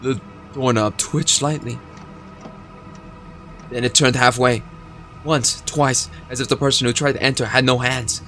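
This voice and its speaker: A man's voice: mid-range voice, fairly even intonation, measured pace, long pauses, fairly bright tone, soft background music. A young man playing a horror visual novel, reading the narration aloud with suspenseful emphasis. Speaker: gaming video creator.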